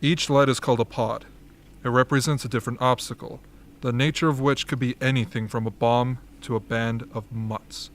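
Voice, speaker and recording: A man playing an authoritative, measured military strategist in an amateur radio drama. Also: mid-range voice, steady tone, lightly acted. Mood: grave, instructive. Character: commanding, dryly formal rebel military strategist briefing soldiers.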